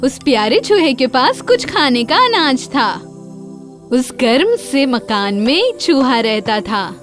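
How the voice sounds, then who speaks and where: High-pitched woman telling a children's fairy tale. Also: very animated, a bit bright. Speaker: storyteller. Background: faint music.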